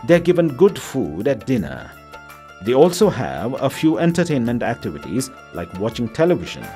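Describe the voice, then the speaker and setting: Lively male documentary narrator over music. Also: medium pitch, moderate pace. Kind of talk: scripted narration.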